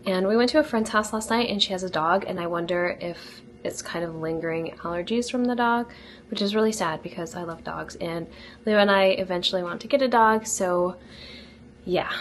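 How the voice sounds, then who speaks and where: A young American woman with a slightly raspy voice, chatting casually and informally to camera as a lifestyle vlogger. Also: lowish voice, moderate pace, a little hiss or hum. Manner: informal, frequent "like" and "really".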